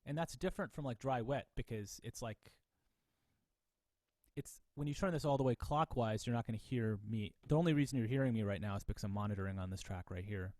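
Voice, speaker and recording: A man teaching an informal online class, demonstrating software step by step and thinking aloud in a halting, tentative way. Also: mid-range voice. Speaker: music professor teaching a class. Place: online video class, voice through a microphone. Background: electronic music and synth tones.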